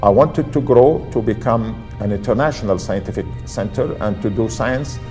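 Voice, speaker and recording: A man's voice: fairly low pitch, moderate pace, mellow, faint music behind. A man looking back earnestly and proudly on years of building a scientific center, in a documentary interview.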